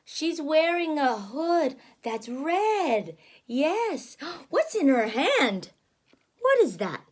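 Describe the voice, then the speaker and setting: A woman with a high voice reads a children's story and explains it as she goes. Her delivery is slow and very animated, full of gasps and simple questions, like a teacher guiding a small child. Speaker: teacher reading a picture book aloud to a child.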